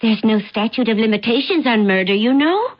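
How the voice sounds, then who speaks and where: An actress in a radio drama playing a possessive wife who mocks her husband in sugary, drawn-out words. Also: fairly high pitch, animated. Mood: taunting, possessive. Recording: dull vintage radio drama recording.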